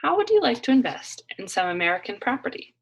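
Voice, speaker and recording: A woman voicing an anxious, proud immigrant mother in a children's storybook, expressive and insistent as she keeps up a brave front. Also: a little high, quick pace, vocal fry, breathy edge, muffled recording, voice pushed up, full character voice. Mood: eager, persuading.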